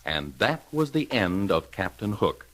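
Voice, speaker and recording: A man narrating a children's storybook from a script, with dramatic, measured delivery. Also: fairly low pitch, very animated, low-quality recording. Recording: loud music under the voice.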